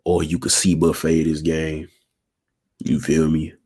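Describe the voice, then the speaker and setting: A man in his twenties with a raspy voice and a sore throat, talking casually to viewers on his livestream and checking in with slangy asides. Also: a bass voice, completely flat delivery, unhurried, dark tone. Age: 20s. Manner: slangy, keeps asking "you feel me". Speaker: channel host.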